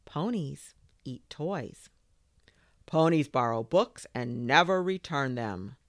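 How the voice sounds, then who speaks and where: A woman voicing a weary parent in a storybook, making firm objections in an emphatic, deliberate manner. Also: very deep voice, expressive, no echo, studio quality, full character voice. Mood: exasperated.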